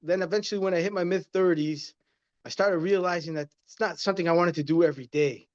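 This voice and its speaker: A man in his thirties with a slightly high voice, speaking earnestly and circling back to his points like a motivational speaker. The recording sounds a bit dull and muffled, as if through a modest mic. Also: quick pace. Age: 30s. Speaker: guest, inspirational speaker. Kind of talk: casual interview conversation.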